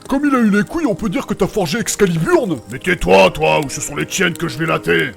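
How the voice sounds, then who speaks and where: A man playing a gruff, foul-mouthed brawler, blunt and mocking, in a fantasy audio drama. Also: high-pitched, animated, a little fast, soft background music, exaggerated voice. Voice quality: gravelly. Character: gruff, foul-mouthed, sarcastic male brawler raised among orcs. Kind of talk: French fantasy audio fiction acting.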